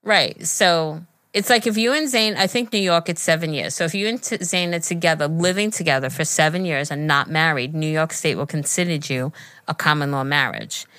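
An American woman, middle-aged, co-hosting a casual podcast where she chats and reads listener letters aloud with animated asides. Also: low-pitched, fairly quick, no echo. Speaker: podcast co-host.

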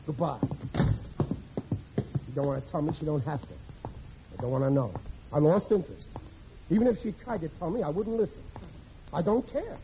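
A man's voice: fairly high pitch, normal speed, long pauses, natural voice. An actor playing a blustering, gullible, worrying working man in a 1940s radio comedy, talking in a folksy, ungrammatical way. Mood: sulky. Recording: muffled, noisy old radio recording.

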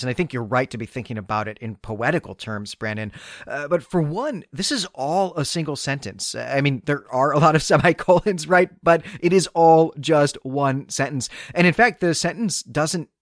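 A man's voice: medium pitch, lively intonation, a bit bright, no echo, clean studio sound. A man co-hosting a book podcast, talking eagerly and laughing easily as he discusses a story. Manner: enthusiastic, quick to laugh, many restarts. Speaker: podcast co-host.

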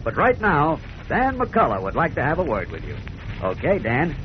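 A man narrates a vintage radio serial with grand emphasis. His voice is fairly high, and the sound is dull with a little noise. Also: huge pitch swings, normal speed. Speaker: announcer and narrator. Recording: muffled old radio recording, faint noise.

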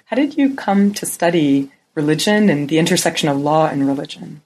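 A woman's voice: low-pitched, a little fast, darkish tone, poor audio. A woman co-hosting an academic podcast, reading a scripted introduction and then chatting with her guest. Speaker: podcast co-host. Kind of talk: podcast interview.

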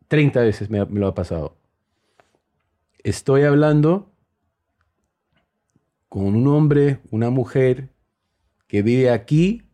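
Male conversational storyteller, very mellow, English native, mixed Peruvian, Spain and Mexican accent in Spanish, voices both sides of exchanges. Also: medium pitch. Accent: mixed Spanish with Peruvian, Spain and Mexican influences. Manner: acts out dialogue while telling anecdotes. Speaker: host.

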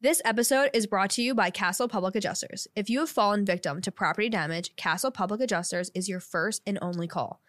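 A young adult woman hosting a real estate podcast, chatty and quick to agree. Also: mid-range voice, a bit bright, no echo. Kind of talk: casual interview chat.

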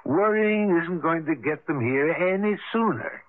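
Heard through a muffled radio broadcast, a reassuring older father. His fairly high voice is lively in pitch, unhurried and gently chiding, in radio drama style. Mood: calm, gently chiding. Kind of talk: 1980s radio drama acting.

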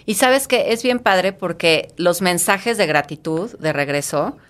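A middle-aged woman with a Mexican accent and a fairly low voice. She talks eagerly as an interview guest, often restarting and repeating words as her thoughts run ahead. Her tone stays casual and conversational. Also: unhurried, a bit bright. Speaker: guest, fitness studio founder.

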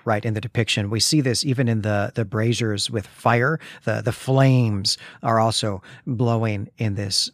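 A man's voice: mid-range voice, dry recording, studio quality. A man co-hosting a conversational literary discussion, giving wry and opinionated commentary. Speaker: podcast co-host.

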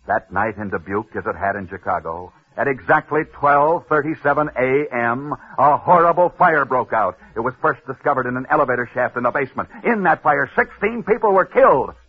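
A man's voice: medium pitch, expressive, fairly quick, hardly any pauses, husky. A man hosting a sports story show, telling tales and reading ads in a punchy, dramatic 1940s radio style. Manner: dramatic, punchy delivery with sudden drops. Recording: tinny old radio broadcast.

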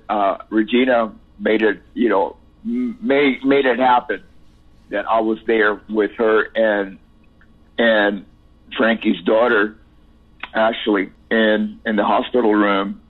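A man in his sixties with a Cuban accent, interviewed as a musician, speaking haltingly with many repeated words and "you know" fillers. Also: on the low side, breathy edge. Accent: Cuban Spanish. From Cuba. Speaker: musician guest. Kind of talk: interview over the phone. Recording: tinny phone line.

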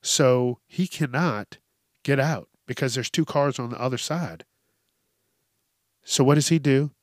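A man's voice: mid-range voice, measured pace, dry recording. A congested man hosting a solo podcast, talking casually in blunt, emphatic, profanity-laced rants into a close microphone. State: congested from allergies. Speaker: podcast host. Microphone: close hypercardioid podcast microphone.

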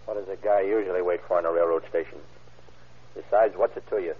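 Actor as low-voiced tough bandit, old radio. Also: male voice, fairly even intonation, quick pace, a little hiss or hum, natural voice. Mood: menacing, defiant. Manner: slangy, curt tough-guy talk. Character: tough, sly, defiant male hold-up bandit. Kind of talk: 1940s radio crime drama acting. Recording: tinny, muffled old radio recording.

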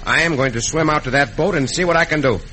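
An actor playing a gallant, confident cowboy hero in a western radio drama, with courtly, decisive speech. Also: male voice, fairly high pitch, fast talker, close to an everyday voice. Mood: resolute. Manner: courtly, decisive, sprinkles Spanish words. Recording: noisy old radio drama recording.

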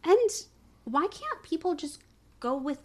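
Woman, podcast guest, lively swooping intonation, slow pace, emphatic and opinionated, conversational. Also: very high-pitched. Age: 30s or older. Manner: opinionated, emphatic, repeats words for stress. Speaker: researcher and analyst. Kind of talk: conversational discussion.

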